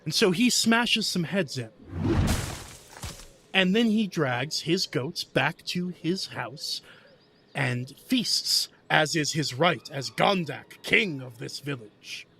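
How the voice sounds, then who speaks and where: A man's voice: a little high, expressive, slow pace, gravelly, bright, forceful tone, slight background noise. A man playing a tabletop game, narrating his character in the third person with dramatic relish.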